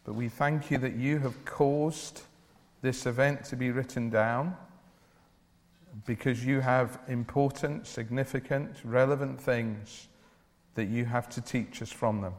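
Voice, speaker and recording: A man preaching a church sermon in an earnest, deliberate way, with declarative phrasing. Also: mid-range voice, fairly even intonation. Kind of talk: evangelical church sermon with Bible reading and prayer. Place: large church or hall, speaking to a congregation. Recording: reverberant hall, long echo.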